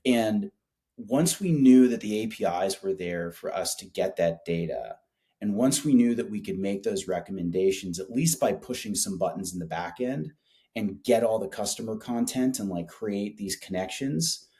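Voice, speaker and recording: Low-pitched man, colloquial and emphatic. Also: normal speed, dark tone. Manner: colloquial, salty, emphatic storytelling. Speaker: startup founder being interviewed. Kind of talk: casual business interview chat.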